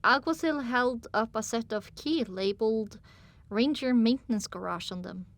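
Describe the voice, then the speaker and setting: A woman's voice: a little high, normal speed, no echo. A woman narrating a story in the first person in a dramatic audiobook style.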